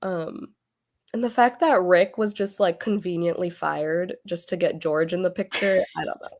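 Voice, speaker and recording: A woman hosting a casual book club chat over a video call, losing her voice as she gets over an illness, chatty and quick to laugh. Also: fairly low pitch, fairly even intonation, darkish tone. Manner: chatty, laughs often, trails off.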